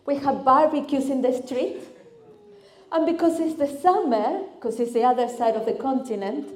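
A woman from Argentina with an Argentine Spanish accent and Spanish as her first language, preaching as a guest speaker in a church, expressive and lively as she tells stories. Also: sits high, normal speed. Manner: expressive, lively storytelling with vivid lists. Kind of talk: sermon. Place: church hall. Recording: echoey church hall.

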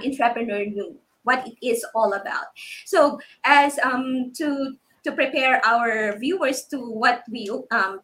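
A woman's voice: high voice, bright, forceful tone, poor audio. Upbeat woman hosting livestream. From Philippines. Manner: upbeat with frequent ums and restarts. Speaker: host.

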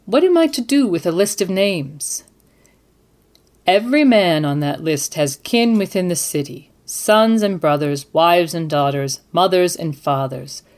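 A woman with a fairly low voice co-hosts a podcast. Her delivery is composed and deliberate, with the measured feel of someone presenting or reading prepared material. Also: expressive, a little mellow. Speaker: podcast co-host.

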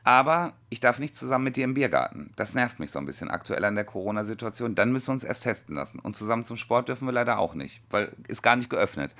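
Lively, joking man in his forties. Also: lowish voice. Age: 40s. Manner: chatty, joking, animated storytelling. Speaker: podcast co-host. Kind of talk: casual chat.

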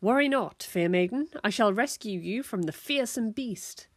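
A woman's voice: medium pitch, lively intonation, normal speed, no room sound, clean studio sound, natural voice. A woman voicing a young boy in a storybook who plays a bold, boastful knight with grand, mock-chivalrous speech. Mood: boastful, playful.

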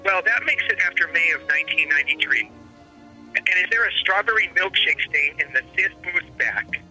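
Nerdy phone expert played by a man, deadpan, high and very bright, telephone filter, radio play. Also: moderate pace, breathy edge, soft background music, full character voice, rough recording. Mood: deadpan, helpful. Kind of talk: audio drama acting.

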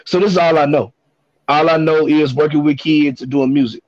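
A man tells his story on a podcast, often pausing on ums and repeating words. The sound is dull and lo-fi, with no room echo at all. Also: fairly high pitch, fairly even intonation. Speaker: guest hip hop artist. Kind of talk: casual podcast conversation. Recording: dull, dry lo-fi audio.

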